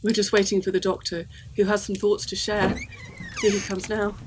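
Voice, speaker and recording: An actress playing a polite, concerned young gentlewoman in a gothic audio drama. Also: medium pitch, little pitch movement, normal speed, slight background noise, natural voice, poor audio.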